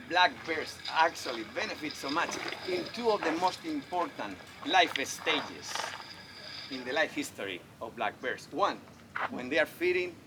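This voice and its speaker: Spanish-accented man lecturing outdoors. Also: on the high side. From Spain. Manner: enthusiastic, friendly, asks the audience questions. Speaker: wildlife veterinarian giving a talk. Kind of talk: public lecture. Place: outdoor festival. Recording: noisy outdoor festival recording.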